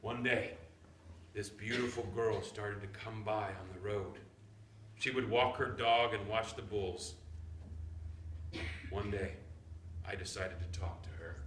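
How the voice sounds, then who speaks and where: A man's voice: lowish voice, steady tone, takes it slowly, pauses often, fairly bright tone. An actor in a staged play reading, playing a teasing, nostalgic country grandfather with a folksy manner. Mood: wistful. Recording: echoey room, background noise.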